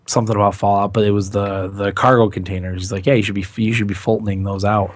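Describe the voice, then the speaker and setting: Deep, hoarse raspy man chatting. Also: flat delivery, quick pace, no room sound. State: hoarse, recovering from lost voice. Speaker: podcast host. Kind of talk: casual chat.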